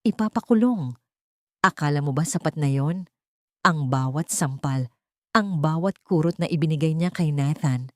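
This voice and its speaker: A woman's voice: low-pitched, normal speed, no echo, clean studio sound, natural voice. A woman voicing a cold, wounded, vengeful young mother in an audio story. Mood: bitter, vengeful. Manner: cold, bitter. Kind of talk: audio story character dialogue.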